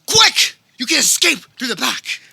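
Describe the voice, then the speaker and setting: A woman's voice: sits high, very animated, moderate pace, sharp tone, slight background noise, full character voice. A woman playing a hapless, paranoid, melodramatic young man in a comedic radio play. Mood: panicked. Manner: hammy, panicky, overdramatic. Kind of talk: comedic radio theater acting. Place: backyard, live performance.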